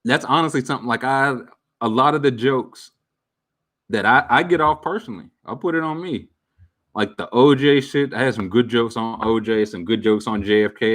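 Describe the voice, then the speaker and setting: A man around thirty chatting casually with viewers as a streamer, candid and reflective, full of slang and fillers. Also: fairly low pitch. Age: about 30. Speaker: streamer answering viewer questions. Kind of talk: casual chat.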